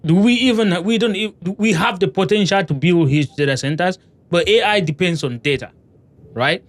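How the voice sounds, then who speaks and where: A man's voice: high-pitched, moderate pace. A man in a casual discussion, talking with hesitation and lots of restarts. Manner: hesitant, many fillers and restarts.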